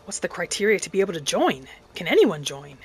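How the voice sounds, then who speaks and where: A woman's voice: lowish voice, sing-song, quick pace, faint music behind. A woman giving in-character commentary on a roleplay game, musing and asking questions as she goes. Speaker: gamer, host of roleplay playthrough.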